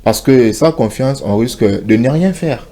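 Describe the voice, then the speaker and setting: A man's voice: medium pitch, lively intonation, a little slow, warm tone. A man co-hosting a morning TV show, giving earnest advice in a casual studio chat and slipping into Lingala.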